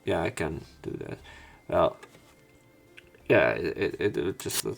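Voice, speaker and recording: Man, gaming narrator, fairly deep, theatrical reading, casual asides. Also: expressive, slow, gravelly, music in the background. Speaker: let's player. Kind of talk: read-aloud game narration with casual commentary.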